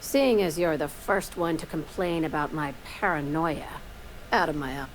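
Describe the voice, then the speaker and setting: Casting: woman as icy game boss, low voice, sardonic and clipped, quietly threatening, faint noise behind. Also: moderate pace, close to an everyday voice, poor audio. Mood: dry, guarded. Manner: dry, clipped, menacing. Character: curt, paranoid female boss, cold and sardonic. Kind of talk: video game voice acting.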